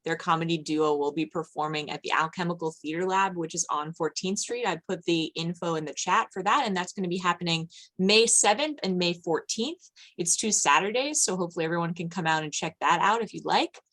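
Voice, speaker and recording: Woman host with a lowish voice. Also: fairly quick, hardly any pauses, fairly bright tone. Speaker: event host and moderator. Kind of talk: informal closing remarks to an online audience.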